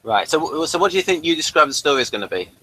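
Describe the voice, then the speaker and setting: A man hosting a casual online review chat, speaking in a hesitant, halting way. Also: a little high, big pitch swings, pushed and bright, no room sound, low-quality recording. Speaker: host of a review channel.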